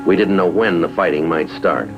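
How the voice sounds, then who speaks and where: A man playing a terse, dry police detective in a 1950s TV drama, with an American accent and clipped, deadpan delivery. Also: low-pitched, normal speed, lo-fi sound. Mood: matter-of-fact. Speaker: lead actor and narrator of a police series. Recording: vintage 1950s TV soundtrack, background music.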